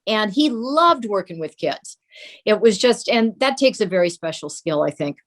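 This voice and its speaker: Woman, normal pitch, chatting about family memories in an interview. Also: animated, normal speed. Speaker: interview guest, host's daughter. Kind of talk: conversational reminiscing.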